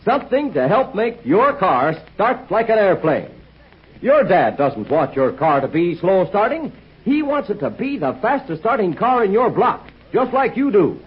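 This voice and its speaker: A man announcing a 1940s radio commercial in a chummy, persuasive sales pitch. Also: sing-song, measured pace, breathy edge. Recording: dull old 1940s radio recording.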